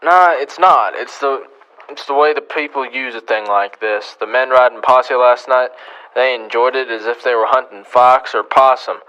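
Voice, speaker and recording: A man's voice: medium pitch, moderate pace, pushed and bright, clear, resonant tone, muffled recording, lightly acted. A man playing a stern, world-weary American marshal in a Western radio drama, terse and sardonic. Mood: troubled.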